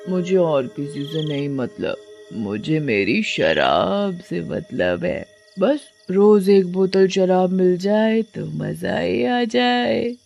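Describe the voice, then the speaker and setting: A woman voicing a drunken, menacing witch in a cartoon horror comedy, with a slow singsong drawl. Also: lowish voice, creaky, a little mellow, muffled recording, heavily acted. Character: drunken female witch, menacing, singing and demanding liquor.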